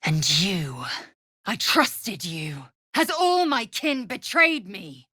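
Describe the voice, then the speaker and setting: A woman playing a proud, fierce githyanki warrior in a video game, with a husky, raspy voice and a clipped, formal, commanding way of talking. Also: medium pitch, very animated, takes it slowly, bright, forceful tone, no room sound, clean studio sound, exaggerated voice. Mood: betrayed. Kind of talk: video game character voice lines.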